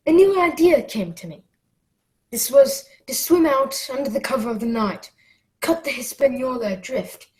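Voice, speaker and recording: A woman's voice: a little high, poor audio. A woman in a radio play acting an earnest, plucky boy who narrates his own adventure.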